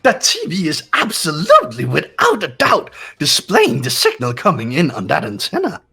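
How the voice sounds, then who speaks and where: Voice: medium pitch, wildly swooping pitch, normal speed, creaky, sharp tone, breathy edge, faint music behind, exaggerated voice. A performer voicing a gleeful, sadistic mascot bear in a fan-voiced video game, taunting and theatrical. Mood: smug, teasing.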